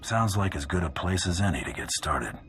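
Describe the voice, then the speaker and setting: An actor playing a gruff, cocky male bounty hunter champion in a video game, terse and dry. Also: expressive, a little fast, a bit bright, soft background music. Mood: businesslike. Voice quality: very deep voice.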